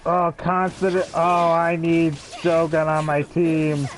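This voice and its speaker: A man's voice: a little high, completely flat delivery, takes it slowly. A man giving casual live gameplay commentary as a streamer, chatting as he plays. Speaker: game streamer. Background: game music.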